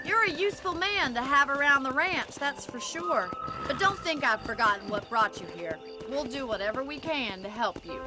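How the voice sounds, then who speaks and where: Spirited, high-voiced Western ranch woman. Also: animated, music in the background. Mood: warm, grateful. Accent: American rural Western. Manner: plain-spoken, teasing, brisk. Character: spirited, practical young woman rancher of the old West.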